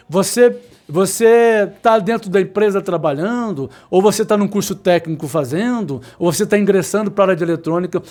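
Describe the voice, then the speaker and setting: A man's voice: high-pitched, darkish tone. A man, an electronics instructor giving a motivational talk to students, repeating his points and stressing words insistently. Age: 50s. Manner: repetitive, emphatic, insistent, with sudden slow stressed words.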